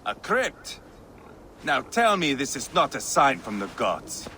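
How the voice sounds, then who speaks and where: Brash Viking prince, wildly swinging delivery. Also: male voice, mid-range voice, big pitch swings, slow, pushed and bright, a little hiss or hum, full character voice. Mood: mocking. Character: brash, bloodthirsty Viking warrior prince, restless and boastful. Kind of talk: video game cutscene acting.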